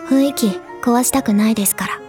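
A woman's voice: fairly high pitch, a little fast, over music, natural voice. A woman playing a cute younger schoolgirl, polite and playful, in an anime-like Japanese audio drama. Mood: subdued, frank. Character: cute, teasing younger schoolgirl masking her true feelings.

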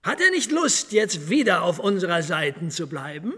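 Casting: wily fortress commandant, period radio drama, high and animated yet unhurried, menacing courtesy, long room reverb. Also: male voice, breathy edge, heavily acted. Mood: probing, curious. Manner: deliberate, hesitant pauses with hums, threatening politeness.

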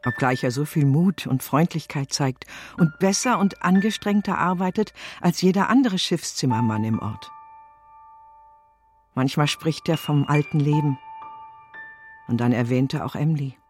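A motherly old nursemaid in a radio play. She is tender and doting, with a fairly low voice for a woman. Faint music runs underneath. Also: expressive, normal speed, darkish tone. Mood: sorrowful, confiding. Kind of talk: radio drama acting.

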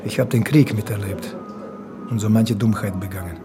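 An actor playing a haunted war refugee, making a grave, halting confession in a radio drama. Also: male voice, on the low side, fairly even intonation, natural voice. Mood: somber, confessional. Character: haunted Bosnian war refugee confessing, former jazz trumpeter. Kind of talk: German radio drama acting. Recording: music behind the voice.

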